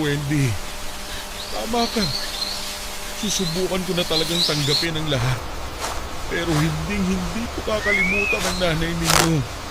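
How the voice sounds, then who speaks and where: A man's voice: fairly high pitch, close to an everyday voice. An actor playing a middle-aged widowed father with a Filipino accent, tender and sorrowful in an emotional audio drama. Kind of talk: Tagalog radio-style drama acting. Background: loud music bed.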